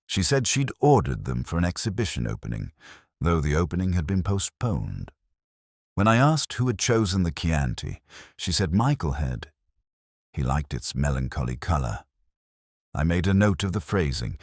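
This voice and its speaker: A man voicing a wry, literary middle-aged male detective, narrating a mystery in a measured, reflective first person. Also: very deep voice, slightly rough, dry recording, studio quality, exaggerated voice. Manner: measured, reflective, quietly observant.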